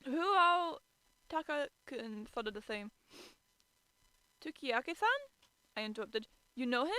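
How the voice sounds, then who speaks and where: Bright-voiced, exasperated woman reading aloud. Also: sits high, lively intonation, slow pace, clear, resonant tone, no room sound, poor audio. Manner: stumbling over words, theatrically exasperated. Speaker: streamer reading fan fiction aloud. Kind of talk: amateur dramatic reading with exasperated asides.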